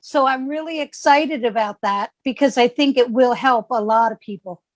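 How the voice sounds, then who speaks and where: A woman, a grief counselor speaking calmly and measuredly as an interview guest. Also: on the high side, moderate pace, no echo.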